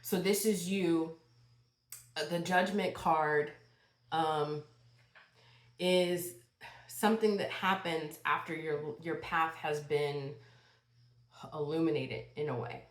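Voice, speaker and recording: A woman giving a channeled tarot reading to her viewers, speaking in a slow, spiritual way and sniffling a little from a cold. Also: low-pitched, fairly even intonation. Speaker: tarot reader. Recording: echoey room.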